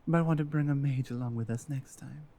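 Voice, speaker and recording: A woman playing an earnest, loyal warrior-healer companion in a fantasy ASMR roleplay, with a hesitant, halting delivery. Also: extremely low pitch, dark tone. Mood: wry, impressed. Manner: hesitant, halting, with stammered restarts. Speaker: audio roleplay performer.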